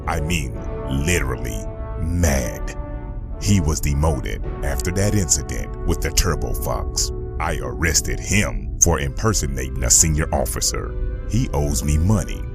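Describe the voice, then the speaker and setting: A man's voice: very deep voice, expressive, unhurried, creaky, over music, heavily acted. A man playing a stern, humourless robot military officer in a motion comic, pedantic and disapproving. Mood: stern disapproval. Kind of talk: motion comic character acting.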